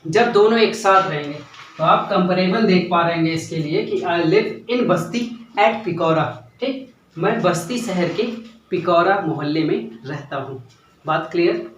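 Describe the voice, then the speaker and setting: A man's voice: high voice, measured pace, a little hiss or hum. A young man teaching a grammar lesson, repeating key points and often checking whether students follow. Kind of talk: classroom-style grammar lesson. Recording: echoey room, mic at a distance.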